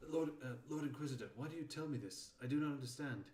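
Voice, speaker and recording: A man's voice: medium pitch, fast, darkish tone, far from the mic, voice pushed up, close to an everyday voice. A man voicing a humble, bewildered overseer in a sci-fi story, deferential and questioning in formal words. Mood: confused. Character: humble, bewildered male overseer addressing his lord. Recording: roomy echo with faint background noise.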